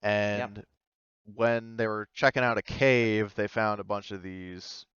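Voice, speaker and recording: A man with a low, clean voice acts as game master for a tabletop game. He builds the scene haltingly, in hesitant pieces. The sound is dry and a little dull, as on a voice call. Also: steady tone, a bit bright, clear, resonant tone, no echo. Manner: halting, hesitant, pieces sentences together gradually. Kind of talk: improvised tabletop game narration. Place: online voice call.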